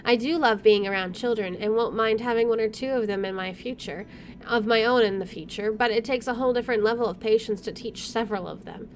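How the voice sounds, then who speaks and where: A woman's voice: fairly high pitch, a little fast, a little hiss or hum. A woman hosting a gaming video, reading visual novel narration aloud with casual asides.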